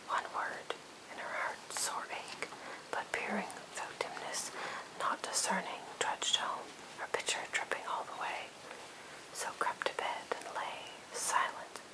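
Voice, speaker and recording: A person reading a poem in an unvoiced ASMR whisper, phrase by phrase with gentle pauses. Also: poor audio. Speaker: ASMR reader.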